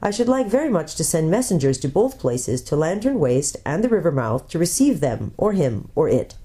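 A woman's voice: low-pitched, sing-song, warm tone, husky, heavily acted. A woman voicing a learned old male doctor who speaks in a careful, scholarly way, in a storybook reading. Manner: measured, scholarly.

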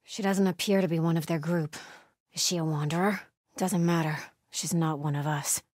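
A devout female cult sentry from a video game. Her voice is lowish and husky, solemn and watchful, with measured, pious phrasing and a dry, close sound. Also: steady tone, a little mellow, no room sound. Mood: solemn vigilance. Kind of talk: video game ambient dialogue.

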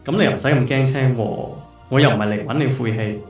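A man voices the sardonic ghost of a drowned male debtor with a Hong Kong Cantonese accent. The medium-pitched voice is drenched in echo over faint music, mocking and eerie, radio drama style. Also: fast. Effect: heavy ghostly echo.

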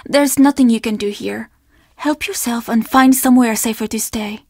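A young woman who runs a Japanese inn in a video game. Her voice sits high and is recorded very dry. She is earnest and formally polite, apologetic, and carries her troubles with her. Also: normal speed, darkish tone, no echo. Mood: despairing, bitter. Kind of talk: video game character dialogue.

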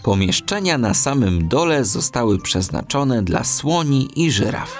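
A man narrating a children's audiobook in an expressive storytelling style. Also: medium pitch, a little slow, music in the background.

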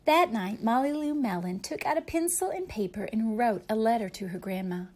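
A woman's voice: fairly high pitch, lively intonation, normal speed, darkish tone. A woman reading a picture book aloud expressively for young children, explaining things simply like a teacher.

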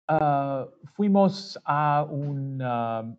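A man learning Spanish, speaking in a halting way and searching for words in an online class. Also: a little high, lively intonation, darkish tone, clean tone. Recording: muffled web meeting audio.

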